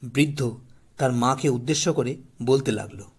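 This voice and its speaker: A man with a husky voice narrating a scripted horror story as the storyteller. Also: mid-range voice, normal speed.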